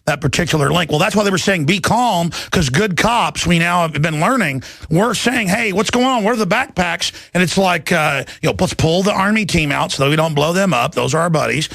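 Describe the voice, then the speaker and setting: A man with a heavily gravelled, somewhat high-set voice hosts talk radio close on a studio mic. He rants off the cuff, agitated and emphatic, rambling and repeating himself. The sound is dry. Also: expressive, a little fast, hardly any pauses, a bit bright, dry recording. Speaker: radio show host. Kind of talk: impassioned unscripted talk radio rant. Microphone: close studio broadcast microphone.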